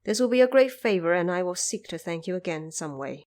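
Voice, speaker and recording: A woman's voice: lowish voice, animated, fast talker, darkish tone, voice pushed down, close to an everyday voice. An earnest court minister, played by a woman speaks the words of his letter in an audio drama. He is formal, reverent and self-effacing, as if pleading with an elder. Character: living male court minister, humble, earnest letter writer.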